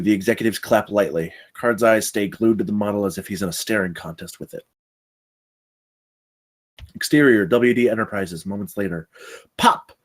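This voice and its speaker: Table-read narrator: male, low register, reads screenplay action lines aloud. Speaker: stage directions reader at a table read.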